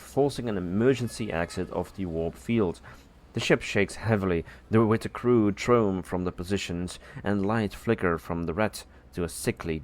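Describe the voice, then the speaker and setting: A man reading a scripted story as narrator, halting now and then and correcting his words. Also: low-pitched, a little mellow. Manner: halting, stumbles over words and corrects himself.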